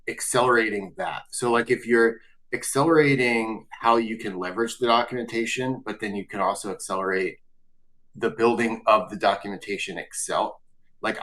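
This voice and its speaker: A man with a fairly low voice hosts a webinar panel. He asks his questions in a loose, casual way, often leaning on "like". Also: a bit bright, poor audio. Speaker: webinar host. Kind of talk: interview questions.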